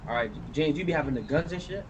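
Male roleplay player, quick, slightly high voice, slangy street talk, noisy voice chat. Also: lively intonation, a loud crowd. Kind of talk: casual in-character game chat.

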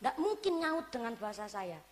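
Lively ngapak-accented woman preacher. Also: on the high side, moderate pace. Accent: Banyumasan (ngapak) Javanese. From Cilacap, Central Java. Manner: animated, joking, switches between Javanese and Indonesian, laughs often. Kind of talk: religious lecture to a crowd.

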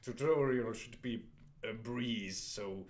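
A man's voice: mid-range voice, takes it slowly. A young man casually commenting on a strategy game as he plays, hesitating often and reading the on-screen dialogue aloud. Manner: hesitant, many fillers and restarts, reads dialogue in flat voice. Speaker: let's play player.

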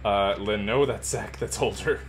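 A man's voice: on the low side, moderate pace. A young man chatting casually while playing an online game with friends. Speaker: gaming video creator playing with friends. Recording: clearly noisy home headset recording.

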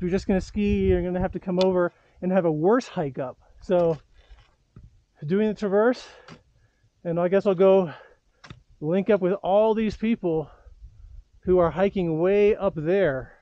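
High-pitched man vlogging outdoors. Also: a little slow, dark tone, highs cut off. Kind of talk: casual outdoor skiing vlog. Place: outdoors on a snowy mountain slope.

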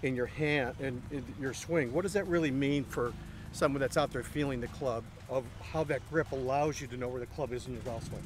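A middle-aged man interviewing a golfer outdoors on a golf course, talking in a salesy way and often repeating words, picked up by an overhead boom mic. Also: brisk, a little hiss or hum. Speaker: company head interviewing a golfer. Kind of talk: promotional walk-and-talk interview.

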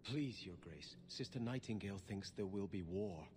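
A man's voice: fairly low pitch, unhurried, darkish tone, slight background noise, natural voice. An actor playing a devout, earnest young nobleman and sworn protector in a video game, speaking solemnly. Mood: earnest pleading.

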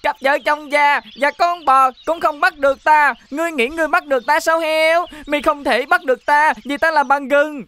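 A woman's voice: high-pitched, fairly even intonation, normal speed, few pauses, bright, forceful tone. A woman voicing a cheeky little gingerbread boy in a children's cartoon, with a teasing, boastful sing-song delivery. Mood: mocking, boastful.

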